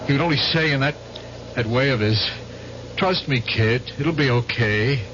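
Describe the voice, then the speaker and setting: An actor playing a haunted, guilt-racked war veteran in 1970s radio drama style, pleading and unhinged, halting and repeating himself. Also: male voice, mid-range voice, a bit bright, natural voice. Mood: pleading, tormented. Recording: noisy, muffled old radio broadcast.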